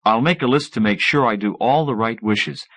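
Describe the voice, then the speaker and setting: A man voicing an excitable, defensive, wisecracking mad scientist in audiobook dialogue. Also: medium pitch, a little fast, no echo. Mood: explaining.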